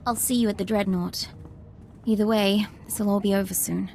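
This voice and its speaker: Actress as a brisk, wry squad leader woman. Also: mid-range voice, steady tone, vocal fry, warm tone, slight background noise, natural voice. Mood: confident. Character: brisk, wry female military squad leader, loyal and capable. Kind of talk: video game voice acting.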